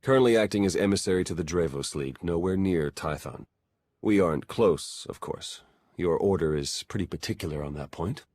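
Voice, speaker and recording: A man playing a wry, suspicious intelligence agent in a space-opera video game, speaking in a dry, sardonic, conversational way with a husky voice. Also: low-pitched, a little fast, a little mellow, dry recording. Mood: guarded. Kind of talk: video game dialogue acting.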